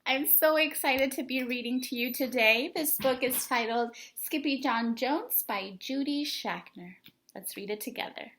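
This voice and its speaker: A woman reading a children's storybook aloud in an expressive, deliberate storytelling manner. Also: high voice. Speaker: storybook reader.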